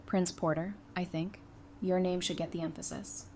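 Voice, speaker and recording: A woman's voice: fairly low pitch, fairly even intonation, moderate pace, a little mellow, slight background noise, lightly acted. A woman playing a feisty, affectionate, independent bride in an audio drama, speaking in a casual, frank, teasing way. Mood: thoughtful, affectionate.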